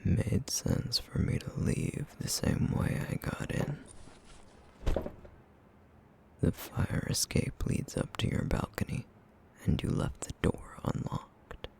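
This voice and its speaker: A man voicing a brooding, guilt-ridden, vampire-like essence eater in an ASMR roleplay drama, terse and bitter, with a breathy, gravelly near-whisper. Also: unhurried, background noise, close to an everyday voice. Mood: brooding, guarded menace.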